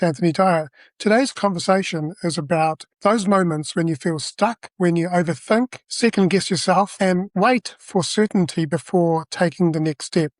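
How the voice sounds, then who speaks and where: A man's voice: high-pitched, no room sound. A man hosting a podcast, reading a polished motivational intro and then asking interview questions. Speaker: podcast host.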